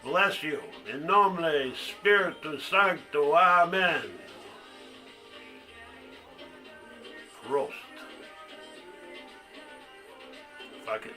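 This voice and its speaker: A man's voice: a little high, expressive, slow pace, sharp tone, faint music behind, exaggerated voice. An actor playing a crude, haunted man who halts and confides as he tells a story in a stage monologue. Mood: boozy, ceremonious. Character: crude, haunted man recounting a boyhood secret. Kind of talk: one-act monologue, storytelling.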